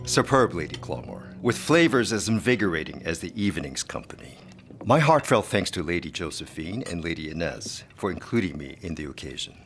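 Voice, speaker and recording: An actor playing a smooth, flattering gentleman guest, suave, courteous and florid, in an audio drama. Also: deep voice, a little fast, faint music behind, exaggerated voice.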